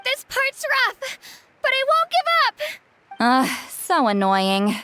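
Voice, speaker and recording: A woman's voice: sits high, wildly swooping pitch, slow pace, noisy background, full character voice. A woman voicing a plucky, bubbly teenage girl athlete in a video game, perky and exclamatory. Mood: determined, strained. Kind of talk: video game character acting.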